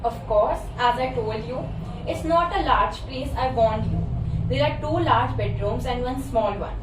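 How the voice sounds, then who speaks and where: A woman's voice: fairly high pitch, measured pace, natural voice. A young woman student reading the part of a polite, accommodating lady house seller in amateur theatre. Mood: courteous. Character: polite, accommodating woman selling her villa. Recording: distant mic, echoey noisy room.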